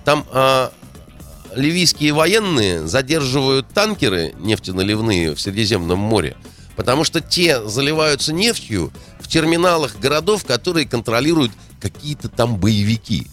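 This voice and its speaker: A man's voice: medium pitch, expressive, normal speed, a bit bright, faint music behind. A man in a heated political discussion, indignant, firing rhetorical questions and hesitating often.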